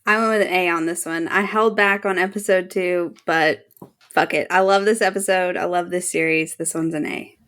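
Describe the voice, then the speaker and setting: A woman's voice: fairly low pitch, steady tone, normal speed, fairly bright tone. A woman guest on a podcast, chatting casually and informally as a fan.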